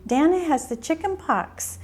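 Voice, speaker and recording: A woman's voice: sits high, lively intonation, measured pace, darkish tone. A woman in her sixties telling personal stories as a missionary in a documentary interview. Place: bright room at home. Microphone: lapel mic. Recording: music behind the voice.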